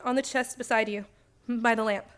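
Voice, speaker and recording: A woman's voice: on the high side, steady tone, normal speed, fairly bright tone, clear, resonant tone, close to an everyday voice. An actress playing a hard, wary schemer in a crime thriller radio play, acting and narrating her own story. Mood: tense, commanding. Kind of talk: suspense radio drama acting with first-person narration.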